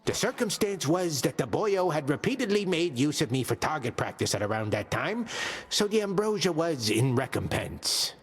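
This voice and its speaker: An actor playing a gruff, joking male skeleton commander who trains fighters and mixes lofty old words with slang, delivered deadpan. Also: a little high, moderate pace, big-room sound, faint music behind. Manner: deadpan jokes mixing archaic and slang words. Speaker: combat trainer.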